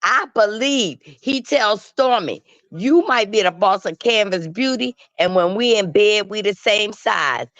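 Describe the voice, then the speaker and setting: A woman's voice: mid-range voice, lively intonation, a little slow, few pauses, a bit bright, no echo. A woman hosting a casual gossip show, giving opinionated commentary in an emphatic, sassy, colloquial way. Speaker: talk show host. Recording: dull, lo-fi online audio.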